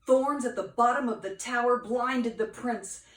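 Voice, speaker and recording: An older woman reads a storybook aloud expressively, with dramatic pauses and playful asides to children. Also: a little high. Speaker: grandmother reading to grandchildren. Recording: echoey room, distant mic.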